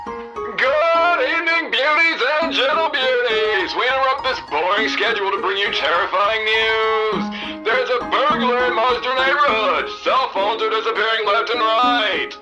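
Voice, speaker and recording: A man plays a flamboyant robot presenter reading sensational cartoon news. His pitch is extremely high and his announcing is showy, over loud music. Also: a little slow, rough recording.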